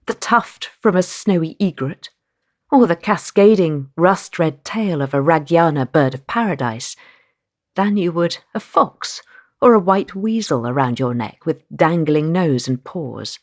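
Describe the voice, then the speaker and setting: A woman author reading the prologue of her own nonfiction book aloud, in a measured, expressive way with dramatic pauses. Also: low voice. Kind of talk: audiobook-style reading of nonfiction prose.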